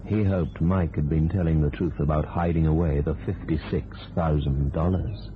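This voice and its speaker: An actor playing a cynical, dry hardboiled narrator of a crime radio play. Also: male voice, rumbling low, steady tone, moderate pace. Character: hardboiled male narrator of a pulp crime tale. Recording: tinny old radio, faint hiss.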